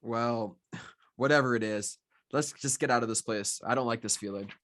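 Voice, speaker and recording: A man's voice: mid-range voice, normal speed, a bit bright, lightly acted. A man playing a wary, witty streetwise friend, casual and slightly hesitant, in a screenplay table read. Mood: uneasy.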